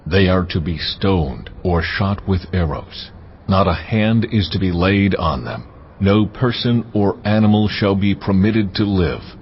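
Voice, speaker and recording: An actor playing God as a commanding, almighty male voice, speaking in a solemn and measured way in a dramatized Bible reading, with orchestral music behind. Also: deep voice, a little hiss or hum. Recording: muffled audio under orchestral music.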